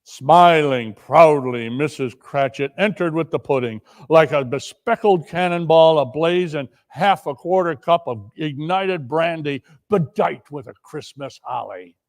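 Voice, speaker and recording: A man giving a theatrical storybook narration, deliberate and expressive. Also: a little high. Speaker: narrator in a dramatic reading.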